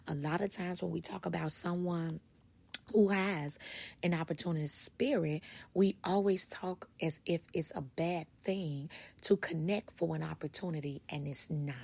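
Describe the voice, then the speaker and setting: A woman who writes and speaks on leadership, giving a casual motivational talk to her viewers in a hoarse voice, stressing her points by repeating them. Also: deep voice, a little mellow. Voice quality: raspy, husky. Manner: emphatic, repeats key points.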